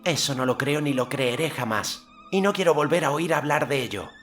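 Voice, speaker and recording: A man's voice: fairly high pitch, expressive, a little fast, fairly bright tone, soft background music, pitched above the performer's own voice. A man voicing a proud, wary young boy hero in an audiobook, direct and commanding in his questions. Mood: indignant.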